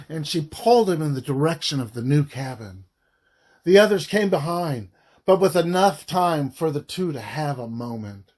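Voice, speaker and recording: A man reading a scripted audiobook narration in a deliberate way, phrase by phrase with frequent pauses. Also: on the high side. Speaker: author reading own audiobook.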